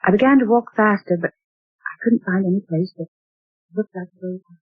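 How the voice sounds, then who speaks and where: An actress playing a genteel woman recounting a ghostly night in 1940s radio drama style, theatrical and breathy. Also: medium pitch, normal speed, close to an everyday voice. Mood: frightened. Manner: dramatic, exclaiming. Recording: tinny old radio broadcast.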